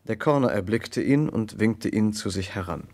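A man playing the observant narrator of a German radio crime play, telling the story in a measured, literary way. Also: on the low side, animated, gravelly, darkish tone, a little hiss or hum. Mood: measured storytelling. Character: observant male first-person narrator of a detective story.

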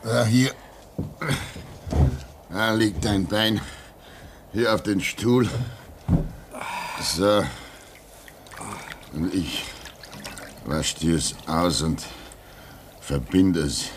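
Radio play: a devout, lonely polar radio man, low and unhurried, faint hiss. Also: heavily acted. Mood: teasing. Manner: slow, prayerful, half-singing. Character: lonely radio operator at an Arctic station, pious.

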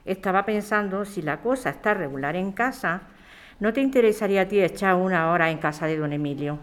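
Una mujer que interpreta a una madre de mediana edad, atenta y preocupada, con tono conversacional en una radionovela. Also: female voice, medium pitch, animated, a little fast, close to an everyday voice. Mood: concerned, persuasive. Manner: concerned, conversational. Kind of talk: radio drama acting.

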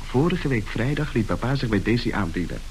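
An actor playing the chatty, amused son of a rich family in a radio play, gossiping with relish. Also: male voice, medium pitch, animated, a little fast, a little mellow, lightly acted. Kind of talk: radio drama acting. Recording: hissy old radio drama recording.

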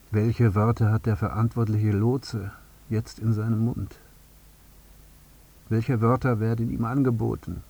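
Husky bereaved father, broken, obsessive, short deliberate phrases, radio drama monologue. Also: fairly low pitch, mellow. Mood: bitter pleading.

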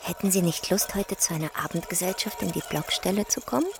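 A woman's voice: on the low side, quick pace, gravelly. A chatty local acquaintance in a radio satire. She sounds knowing and sociable as she delivers her lines. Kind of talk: German satirical radio play acting.

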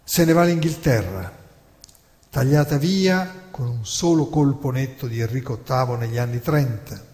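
A man speaks as the narrator of a stage monologue on history, with a medium-pitched voice carried by long hall reverb. His delivery is rhetorical and deliberate, leaning on emphatic phrases and dramatic pauses. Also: animated, darkish tone. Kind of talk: theatrical historical lecture monologue.

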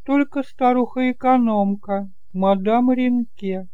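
Man voicing a high-pitched, genteel middle-aged aunt. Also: slow, smooth, no echo, phone-quality sound. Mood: worried, warning. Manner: emphatic, solicitous. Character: genteel middle-aged widowed aunt, sweetly insistent and gossipy. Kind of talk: audiobook drama acting.

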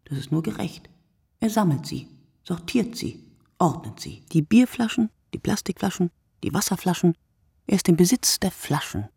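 An actress playing a weary, observant woman in a radio play monologue, speaking in measured, short phrases with a husky, breathy voice that often drops to near whisper. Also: on the low side, big pitch swings, a little mellow, no room sound, clean studio sound, heavily acted. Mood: precise, observant. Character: weary, observant woman watching early-morning street from her window. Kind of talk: literary radio play monologue, scripted narration.

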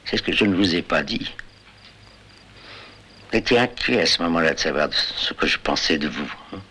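A man's voice: low-pitched, expressive, a little fast, pushed and bright, slight background noise, poor audio. An actor playing a knowing man who confronts a companion in a pointed, controlled dramatic dialogue. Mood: probing. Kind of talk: French film-like dramatic dialogue.

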